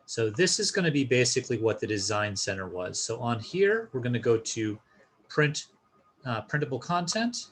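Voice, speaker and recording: A man's voice: mid-range voice, sing-song, moderate pace, husky. A man presenting an informal step-by-step tutorial, explaining a screen share in a casual instructional style.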